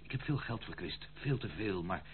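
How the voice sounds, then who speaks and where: An actor playing a charming, spendthrift prodigal son in an old Dutch radio play, pleading in a theatrical, hesitant way. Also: male voice, low-pitched, lively intonation, moderate pace. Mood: wounded pride. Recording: muffled phone-like old radio recording, hum.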